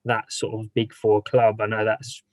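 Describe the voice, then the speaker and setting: A man's voice: fairly low pitch, monotone, normal speed, no room sound. Earnest young man, dry close sound. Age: 20s. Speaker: interviewee, football fan. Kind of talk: documentary interview.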